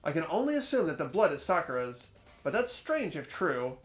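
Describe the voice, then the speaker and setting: A performer voicing an earnest, uneasy teenage schoolboy who narrates an investigation in a visual novel game, hesitant and expressive. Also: mid-range voice, a little fast, faint music behind, lightly acted. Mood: doubtful.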